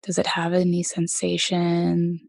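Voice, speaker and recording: A woman guides a meditation at a very slow, unhurried pace. Her voice is soft and sits a little low. She leaves gentle pauses between phrases, and the recording is dry and close. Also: monotone, vocal fry, no room sound. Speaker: meditation teacher leading a body scan.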